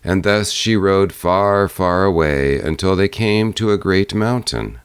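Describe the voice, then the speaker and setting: A man narrating a fairy-tale audiobook in a measured storytelling manner. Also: sits low, smooth, dry recording, studio quality.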